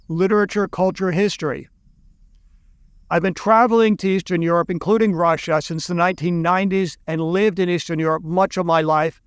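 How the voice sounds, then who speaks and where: A man's voice: high voice, clean tone, dry recording, studio quality. A middle-aged American man, a college teacher, giving a loose, rambling talk to camera with restarts and asides. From United States. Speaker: college teacher and video lecturer.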